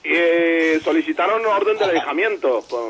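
A man's voice: on the high side, animated, normal speed, a loud crowd. A man calling in to a radio show, chatting casually in an irreverent, joking, sweary way.

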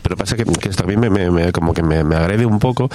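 A man's voice: low-pitched, background noise. A man talking in a group discussion, stumbling over his words and adding tag questions. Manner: quick, stumbling, fills with "¿no?". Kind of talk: discussion about psychiatry.

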